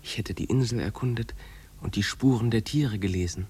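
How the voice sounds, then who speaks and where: An eager, husky-voiced runaway boy. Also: on the low side, brisk, a little mellow. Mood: dreamy longing. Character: eager dreamy runaway boy, adventurous and pleading. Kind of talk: 1950s German radio drama acting. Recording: 1950s radio production.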